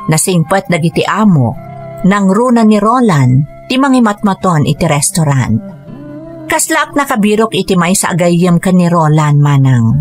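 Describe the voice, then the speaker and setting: A woman's voice: on the low side, lightly acted. A woman playing a devoted single mother working abroad in a radio drama, with an earnest, emotional delivery. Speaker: lead actress.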